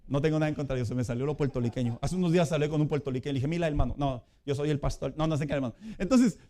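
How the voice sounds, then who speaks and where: A man preaching a sermon as pastor in a conversational way, building to loud emphatic peaks. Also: a little high, racing.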